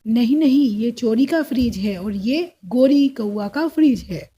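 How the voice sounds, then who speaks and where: A woman's voice: fairly high pitch, animated, a little slow, dark tone, husky, voice pushed down. A woman voicing a blunt, authoritative police officer in a bird cartoon. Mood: firm. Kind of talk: cartoon story dialogue.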